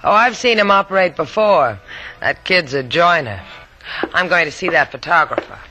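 Brash, very bright-voiced wisecracking young woman. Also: fairly low pitch, expressive, moderate pace, lo-fi sound. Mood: wry, determined. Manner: sharp, sardonic, commanding. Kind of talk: 1940s-50s radio drama acting. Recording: old radio recording with faint music.

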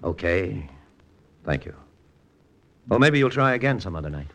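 An actor playing a brooding, jealous American lieutenant and undercover agent, terse and clipped, with an American accent, in 1940s-50s radio drama style. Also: male voice, sits low, big pitch swings, moderate pace, a bit bright, exaggerated voice. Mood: stiff, curt. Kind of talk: 1940s-50s radio drama acting and first-person narration.

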